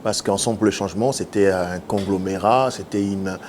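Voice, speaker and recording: A man, answering as a politician guest in an earnest, halting way with many filler sounds. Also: low-pitched, darkish tone, slight background noise. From Democratic Republic of the Congo. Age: 50s. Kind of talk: TV interview answers.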